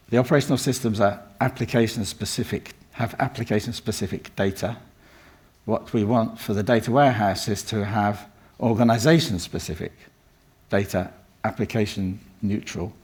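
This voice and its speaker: Croaky older man giving a talk. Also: on the low side, darkish tone. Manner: rambling, many ums and restarts. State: croaky voice from a cold. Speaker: conference speaker. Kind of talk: conference talk. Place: conference room.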